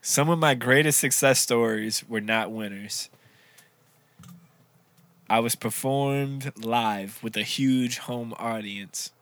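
A man's voice: medium pitch, slow pace, fairly bright tone. A man casually reading trivia clues aloud during a drinking game with friends, laughing often. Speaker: quiz reader in a drinking game.

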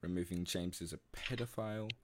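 A man's voice: deep voice, a little fast, background noise. A man, co-hosting a casual chat among friends on a headset, rambling and distracted. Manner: rambling, distracted, laughs a lot. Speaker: podcast co-host.

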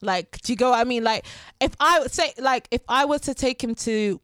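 A woman's voice: on the high side, normal speed, fairly bright tone, no room sound, clean studio sound. A woman in her twenties with a London accent, chatting casually in a candid, slangy way. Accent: London English. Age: 20s. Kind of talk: casual chat.

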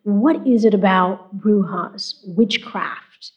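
A woman interviewer with a mid-pitched voice who asks her questions carefully and steadily. The recording is dull and lo-fi. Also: slow. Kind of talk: broadcast interview.

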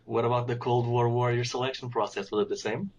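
A man's voice: medium pitch, little pitch movement, fast talker, dull audio, a little hiss or hum. A man interviewer asking plain, direct questions.

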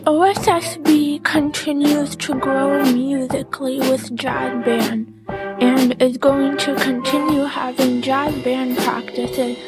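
High, slow female narrator over music. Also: flat delivery. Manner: careful, deliberate reading. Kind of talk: scripted narration. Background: music under the voice.

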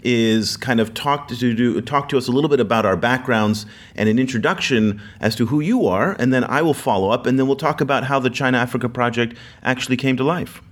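A man hosting a podcast, talking in a relaxed conversational way and telling stories with frequent fillers. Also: mid-range voice, brisk, few pauses. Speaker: podcast host.

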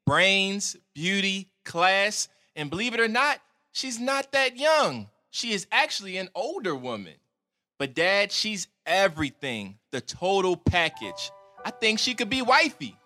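A lovestruck, cocky young son of twenty-two, played by a man, upbeat and defiant. His high voice swings widely and sounds bright, with faint music underneath. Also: very animated, a little slow, full character voice. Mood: excited. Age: 20s. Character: eager 22-year-old son, cocky and lovestruck.

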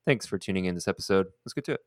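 A man's voice: sits low, lively intonation, rapid-fire, loud music behind the voice. A man hosting a podcast, opening the episode and asking friendly, upbeat questions. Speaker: podcast host.

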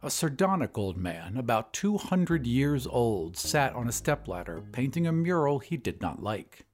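A man narrates as a podcast host with a lively, widely varying delivery at a medium pitch. His scripted, audiobook-like reading sits over faint background music. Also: measured pace.

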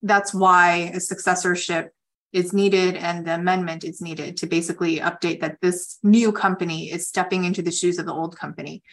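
A woman with a slightly low voice speaks as an attorney hosting a call. She explains legal points in a measured way, pausing as she works out her phrasing. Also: level tone.

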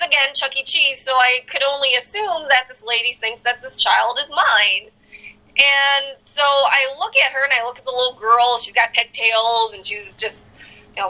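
A bright, fairly high voice from a young Midwestern American woman in casual conversation. She tells stories with animated energy and laughs often as she talks. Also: poor audio. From South Korea. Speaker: guest.

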